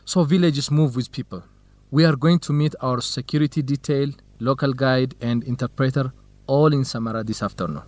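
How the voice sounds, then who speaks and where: Man acting a kind young student, mid-pitched. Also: moderate pace, darkish tone, no room sound, close to an everyday voice. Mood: matter-of-fact. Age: young adult. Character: Khalid, young Ethiopian student researcher, kind, earnest, helpful friend. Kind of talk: radio drama dialogue for English learners.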